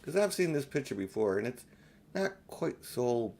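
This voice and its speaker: An older man reads a storybook aloud in a middle-pitched voice. His delivery is homely and unpolished, an amateur storyteller at ease with the page. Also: big pitch swings, moderate pace, mellow, a little hiss or hum. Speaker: audiobook reader. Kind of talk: storybook reading with informal asides.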